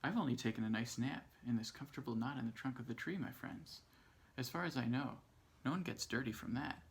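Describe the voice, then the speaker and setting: A calm, unconcerned storybook ant, acted out by a man reader. He has a gravelly edge and an ordinary mid-range pitch, and he answers everything in a nonchalant, matter-of-fact way. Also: brisk. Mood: unbothered. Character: calm, unconcerned male ant waking from a nap, proudly unflappable.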